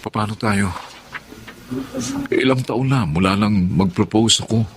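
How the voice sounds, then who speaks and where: A man's voice: fairly low pitch, a little slow, slight background noise, lightly acted. A man playing a frustrated young Filipino fiancé in a radio drama, earnest and reproachful. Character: frustrated fiancé pressing his girlfriend for a decision.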